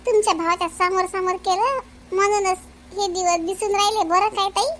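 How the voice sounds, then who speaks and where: Very shrill village woman acted out. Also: steady tone, a little fast, fairly bright tone, slight background noise. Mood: mock complaint. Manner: teasing, complaining, folksy. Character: teasing, chatty village women of a family. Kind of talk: comedy cartoon dialogue.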